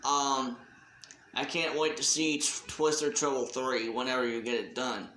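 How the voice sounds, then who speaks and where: A man giving a casual, unscripted shout-out announcement as a hobby video creator, talking in a halting, deliberate way. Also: medium pitch, little pitch movement, echoey room, low-quality recording.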